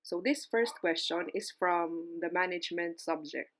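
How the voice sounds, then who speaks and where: A woman's voice: on the low side, unhurried. A young adult woman from the Philippines with an Ilonggo accent, explaining board exam strategies in a casual vlog and mixing English with Tagalog. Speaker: librarian giving exam tips.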